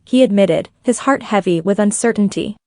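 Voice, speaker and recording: A woman's voice: mid-range voice, normal speed, a little mellow, no room sound, clean studio sound. A woman reading scripted fan fiction as a story narrator.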